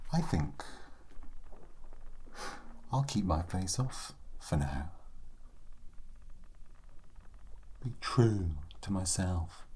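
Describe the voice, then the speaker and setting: A man performing a sassy undead skeleton for an ASMR fantasy roleplay. The voice is extremely deep and mellow, hushed and often whispered. He speaks with great slowness and wry, morbid pauses, like a scholar who considers himself eternal. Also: slight background noise, full character voice. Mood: sardonic. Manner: slow, deliberate, wry, theatrical pauses. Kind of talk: ASMR character roleplay monologue.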